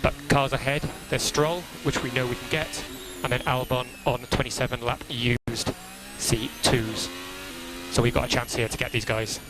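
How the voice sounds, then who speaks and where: A man working as a race engineer, giving calm, procedural briefings over team radio and repeating key instructions in a measured way. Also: mid-range voice. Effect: team radio transmission. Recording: crackly noisy motor racing team radio.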